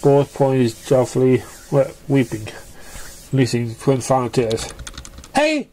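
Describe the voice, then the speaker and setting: A storyteller narrating fan fiction expressively, with a somewhat halting, stumbling delivery. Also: medium pitch, fairly even intonation, mellow, a little hiss or hum.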